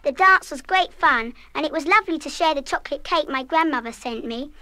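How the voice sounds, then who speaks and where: A woman's voice: high voice, expressive, brisk, sharp tone, dry recording. An actress playing a headstrong, mischievous English schoolgirl in a radio play, plucky and well-spoken. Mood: cheerful. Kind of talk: full-cast children's radio play acting.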